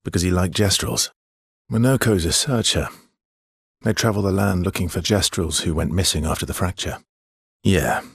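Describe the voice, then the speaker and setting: An actor playing a world-weary, guarded swordsman in a video game, speaking in an understated, conversational way with a husky, gravelly voice and a dry, wry affection. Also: sits low, no room sound, exaggerated voice. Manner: understated, hesitant, dryly teasing. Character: world-weary adult male expedition swordsman, guarded but wryly affectionate.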